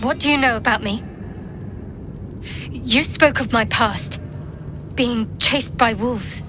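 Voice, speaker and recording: Guarded young female cleric, higher-pitched. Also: slow pace, music in the background. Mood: guarded, defensive. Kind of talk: cinematic video game acting.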